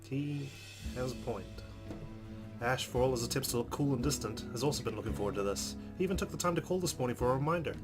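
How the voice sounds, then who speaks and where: Male gaming host narrating a visual novel, chatty and expressive, clear music bed underneath. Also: mid-range voice, fast. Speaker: let's-play host. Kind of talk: reading visual novel narration aloud with casual asides. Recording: music playing behind voice. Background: game music with guitar.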